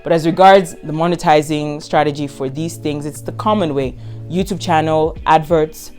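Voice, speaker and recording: A woman's voice: low-pitched, fairly even intonation, moderate pace, a little mellow, faint music behind. A Nigerian woman in her twenties, a content creator answering viewer questions in a casual vlog, frank and emphatic, repeating words for stress. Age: 20s.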